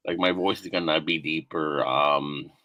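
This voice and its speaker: A man's voice: very deep voice, fairly even intonation, measured pace, sharp tone, dry recording. A young man hosting a casual stream chat, asking questions with many fillers and restarts. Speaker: streamer host. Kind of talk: casual chat, interviewing a guest.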